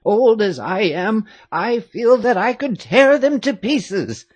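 A narrator voicing a fussy, fiercely loyal old woman who once nursed the family, high-pitched and chattering in exclamations. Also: lively intonation, takes it slowly, slightly rough, no room sound. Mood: indignant. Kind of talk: audiobook character reading.

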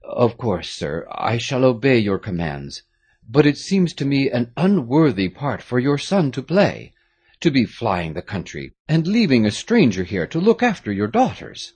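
A man's voice: medium pitch, big pitch swings, measured pace, darkish tone, slightly rough, voice pushed up. A man voicing a proud, dutiful young French noble son who speaks firmly in an audiobook. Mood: earnest. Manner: firm, dutiful.

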